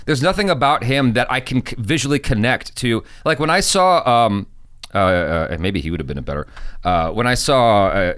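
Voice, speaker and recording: A man co-hosting a movie reaction chat, animated and teasing, with many restarts. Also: mid-range voice, moderate pace, dry recording. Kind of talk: casual chat.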